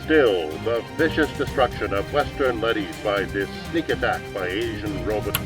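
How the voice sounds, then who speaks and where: An actor playing a grave, indignant propaganda newscaster, declaiming pompously in an old-time radio drama. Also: male voice, lowish voice, normal speed, natural voice. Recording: distant mic under loud music. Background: music.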